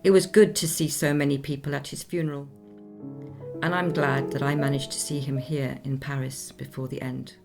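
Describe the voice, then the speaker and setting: An actress playing a dignified nineteenth-century Swedish opera singer in a radio play, speaking in a measured, formal, reflective way. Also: female voice, very deep voice, level tone, gravelly, music in the background. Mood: sombre.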